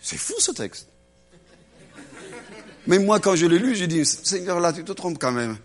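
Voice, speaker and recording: Animated high-voiced male preacher. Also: measured pace. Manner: acts out voices, swings between loud and hushed. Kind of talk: animated sermon.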